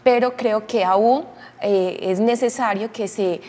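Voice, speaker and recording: A woman giving measured explanatory commentary as a programme guest. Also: a little high, warm tone. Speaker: studio guest, expert. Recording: reverberant room, faint noise.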